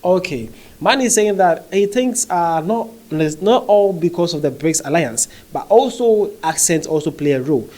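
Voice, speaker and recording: A man's voice: high-pitched, expressive, moderate pace, a little mellow. Man reacting to online comments. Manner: conversational, frequent fillers and "okay?" check-ins. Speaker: commentary vlogger. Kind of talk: reading and reacting to social media comments.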